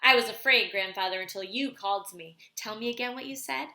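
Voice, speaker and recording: Storybook young boy played by a woman: eager, expressive, earnest, with a forceful bright edge. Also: a little high, quick pace, reverberant. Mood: excited. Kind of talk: storybook read aloud.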